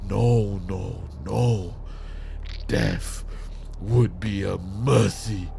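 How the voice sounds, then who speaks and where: Gravelly, slow-spoken vengeful grieving father. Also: low-pitched, darkish tone, slight background noise, full character voice. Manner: slow, menacing, anguished, with dramatic pauses. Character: grieving, unhinged vengeful father turned villain. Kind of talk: dramatic audio roleplay monologue.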